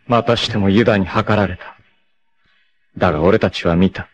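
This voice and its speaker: A man's voice: sits low, moderate pace, muffled recording, natural voice. A man voicing a proud, doomed young martial-arts warrior bent on revenge in a 1980s anime, with intense, theatrical, solemn delivery. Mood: grave, resolute. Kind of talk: dramatic 1980s anime voice acting. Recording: noisy old TV anime soundtrack clips.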